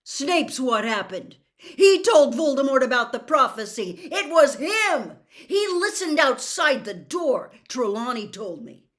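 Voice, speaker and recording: A woman voicing a defiant teenage boy wizard in a storybook, blunt, loud and urgent. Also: sits high, sing-song, a little slow, hardly any pauses, voice pushed up, exaggerated voice. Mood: furious.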